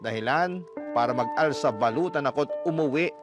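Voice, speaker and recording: A man's voice: mid-range voice, normal speed. A man reading a listener's letter aloud in first person, as radio drama narration. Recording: music bed under the voice.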